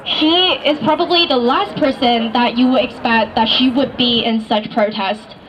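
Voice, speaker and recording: High-voiced young woman. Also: normal speed. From Hong Kong. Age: 20s. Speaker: rally speaker. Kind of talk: personal speech at a rally. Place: outdoor city street rally. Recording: dull outdoor recording, faint noise.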